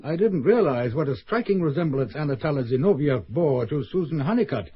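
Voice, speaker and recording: A polite, unflappable British police inspector in a radio play. The voice is slightly high and husky, on a dull lo-fi recording. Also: male voice, animated, a little fast. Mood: calm, authoritative. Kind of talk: radio drama acting.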